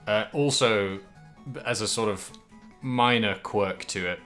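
Casting note: man, low bright voice, loose rambling podcast chat, faint guitar music behind. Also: a little slow. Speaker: podcast co-host. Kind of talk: casual chat about a video game.

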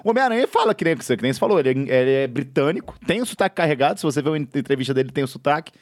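A man from Minas Gerais in casual podcast banter, animated and laughing often. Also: a little high, very fast. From Minas Gerais, Brazil. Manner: animated, laughs often, does joke voices. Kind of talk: casual chat.

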